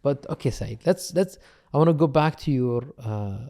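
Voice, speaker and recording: Mellow-toned male podcast host. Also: medium pitch, animated, moderate pace. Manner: conversational, restarts sentences often. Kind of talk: casual interview chat.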